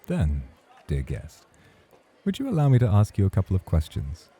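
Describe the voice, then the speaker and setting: A man's voice: low voice, wildly swooping pitch, moderate pace, mellow, full character voice. A man playing a suave, teasing champion nightclub host in a romantic ASMR roleplay, speaking in a courtly, provocative way. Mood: teasing, probing. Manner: smooth, courtly, playfully provocative.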